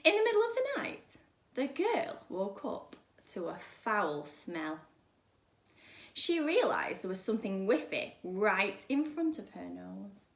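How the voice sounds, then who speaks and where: A woman's voice: mid-range voice, big pitch swings, slow, creaky. Woman reading a children's story, echoey room. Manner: dramatic, emphatic delivery. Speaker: primary school teacher reading a story.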